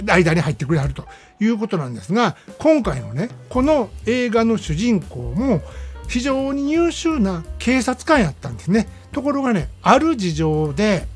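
Kansai-accented radio host over music. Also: medium pitch. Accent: Kansai Japanese. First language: Japanese. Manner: conversational, explanatory, frequent "ne" tags. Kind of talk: casual movie review monologue. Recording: music playing behind the voice. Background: background music.

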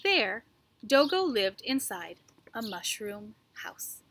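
A middle-aged woman reads a children's story aloud in an expressive, animated way, with deliberate enunciation and dramatic pauses. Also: mid-range voice. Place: outdoors on a backyard wooden deck. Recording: faint outdoor background noise with birdsong.

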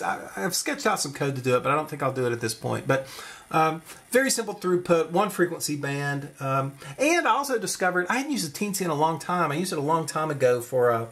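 A man's voice: on the high side, fairly bright tone. A man giving an informal hands-on electronics demonstration, explaining as he goes and thinking aloud. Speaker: electronics tutorial presenter. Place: at a desk indoors.

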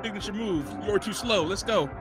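A man's voice: high voice, normal speed. A young man giving casual, exclamatory, sweary reaction commentary on a film into a close studio mic. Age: young adult. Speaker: reaction video co-host. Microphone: close studio mic on boom arm. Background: film music.

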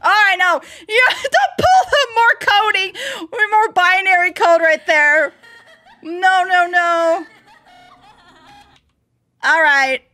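A woman's voice: extremely high pitch, lively intonation, slow, pauses often, bright, forceful tone. A woman hosting a reaction video, thinking aloud in rambling, casual commentary with bursts of exclamation.

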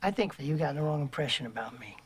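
A man's voice: fairly high pitch, expressive, fast talker, slight background noise, natural voice. A man playing a volatile, hot-tempered gangster who talks in profane threats, in film audio. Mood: menacing calm. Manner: profane, threatening, sudden explosive outbursts. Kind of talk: film drama acting.

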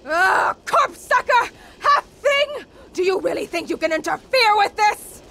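A woman's voice: very high voice, animated, a little slow, sharp tone, exaggerated voice. A woman playing a feral, arrogant, hot-tempered vampire villainess in a video game, theatrical, snarling and foul-mouthed. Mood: furious. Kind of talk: video game cutscene acting.

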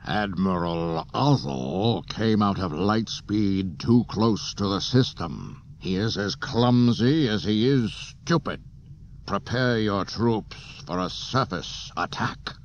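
A male narrator plays a menacing dark lord in a space-opera audiobook. He is deep, cold and imperious, giving slow, clipped orders. The cassette sound is dull. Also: lively intonation. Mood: contemptuous anger. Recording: dull cassette transfer with hiss.

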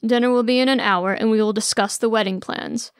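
A woman's voice: a little high, fairly even intonation, brisk, clear, resonant tone, no room sound, studio quality, close to an everyday voice. A woman voicing a hearty, grandly genial older royal father in an audiobook story. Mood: genial, businesslike.

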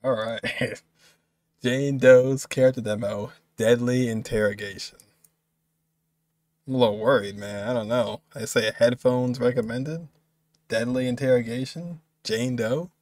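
A man's voice: mid-range voice, fairly even intonation, a little slow, a little mellow, no room sound. A man in his twenties giving casual, amused reaction commentary as a video host, with muttered exclamations. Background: music from the video he is watching.

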